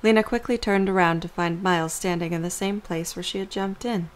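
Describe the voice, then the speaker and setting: Lower-pitched woman narrating a story. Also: fairly even intonation, brisk.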